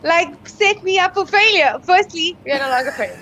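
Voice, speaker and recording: A woman's voice: very high voice, animated, moderate pace, pushed and bright, slight background noise, low-quality recording. A woman, a guest on a live show, complaining playfully about a quiz game she lost. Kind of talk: casual chat on a live show.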